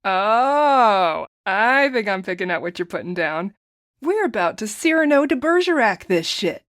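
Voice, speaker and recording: An actress playing a playful, meddling, romcom-obsessed sister in an audio drama, chatty, sassy and casually profane. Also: female voice, medium pitch, big pitch swings, unhurried, fairly bright tone, no echo, studio quality. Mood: excited, scheming. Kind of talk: audio drama acting with narration to listener.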